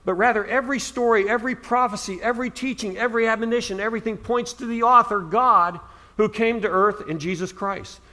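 A man's voice: sits high, fairly quick, bright, forceful tone. A middle-aged man from Ohio preaching a sermon as pastor, earnest, with emphatic rises on key words.

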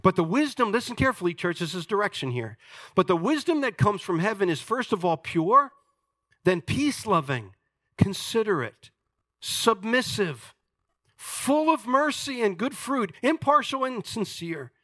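Pastor, man, 60s; high, bright voice, dry recording, emphatic and humorous sermon delivery. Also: big pitch swings, moderate pace, dry recording. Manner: emphatic, jokey preaching with wide swings in loudness.

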